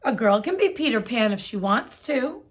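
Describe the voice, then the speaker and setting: A woman reading aloud, voicing a protective, brisk mother in a children's story. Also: fairly high pitch, sing-song. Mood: reassuring.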